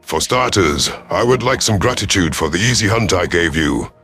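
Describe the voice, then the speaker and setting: A man voicing a proud, calculating veteran alien rebel leader in a sci-fi audio story, measured, formal and imperious. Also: deep voice, animated, vocal fry, a bit bright, faint music behind, heavily acted. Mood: calculating pride.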